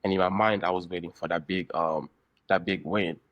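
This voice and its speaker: A man hosting a casual podcast interview, speaking in halting, deliberate phrases. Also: deep voice, steady tone, a bit bright, no echo, highs cut off. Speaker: podcast host, trader.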